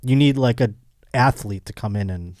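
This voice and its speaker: A man's voice: medium pitch, moderate pace, a little mellow, no room sound, studio quality. A man hosting a casual comedic podcast, rambling and joking as he speculates. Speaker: podcast host.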